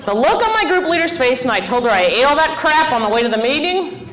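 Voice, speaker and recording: Bright-voiced young woman doing stand-up. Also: high-pitched, quick pace, rough recording. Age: 20s. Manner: self-deprecating, deadpan punchlines, chatty asides to the crowd. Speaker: stand-up comedian. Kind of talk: stand-up comedy set. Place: comedy club stage.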